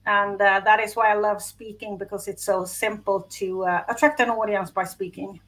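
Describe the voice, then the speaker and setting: Bright-voiced Swedish-accented woman. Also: mid-range voice, little pitch movement, normal speed, low-quality recording. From Sweden. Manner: chatty, laughs while telling her story. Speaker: guest, business strategist. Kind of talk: casual interview talk.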